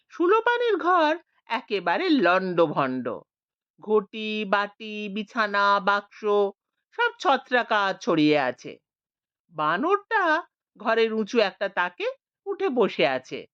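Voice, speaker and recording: A woman narrating an audiobook story in a slow, expressive reading. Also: mid-range voice, no room sound. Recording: dull lo-fi dry recording.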